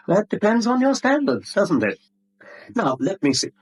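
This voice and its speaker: A genial blackmailer in a seventies radio play. His delivery swoops with relish, and the voice has a slightly husky edge. He is suave and wordy, teasing his victim with mock courtesy. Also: high-pitched, sing-song, a little slow, exaggerated voice. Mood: gloating, amused. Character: smug, genial, middle-aged male blackmailer, mockingly courteous. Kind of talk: 1970s radio drama acting.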